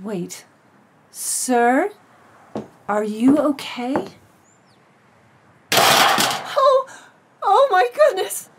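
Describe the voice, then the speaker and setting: Woman acting high, slow room-service maid. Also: huge pitch swings, noisy background, exaggerated voice. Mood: shocked. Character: polite female hotel room-service worker. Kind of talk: audio drama acting.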